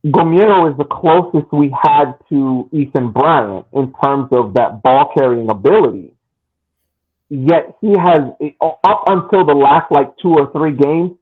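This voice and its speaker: A man with a husky, slightly rough voice talks through a soccer match on a podcast. He builds long, argumentative points. The sound is thin and phone-like. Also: on the high side. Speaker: podcast co-host. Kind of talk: casual soccer analysis chat.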